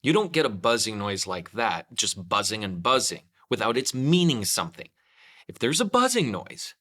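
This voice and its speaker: A man's voice: lowish voice, fairly bright tone. A man voicing a simple, ponderous, honey-loving storybook bear who muses earnestly and repeats himself.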